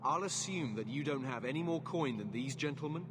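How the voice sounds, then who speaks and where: An actor playing a stern, exasperated guard captain in a video game, jaded, curt and unyielding. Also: male voice, medium pitch, lively intonation, a little fast, lightly acted. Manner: curt, world-weary, dismissive. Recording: long hall echo, faint ambient noise.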